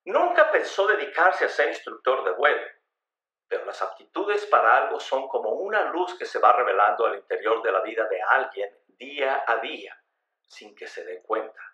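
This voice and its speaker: A man delivers dramatic scripted radio narration with theatrical emphasis. His pitch moves in lively swings, and his tone is bright and forceful. The voice carries a clear roomy echo. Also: moderate pace.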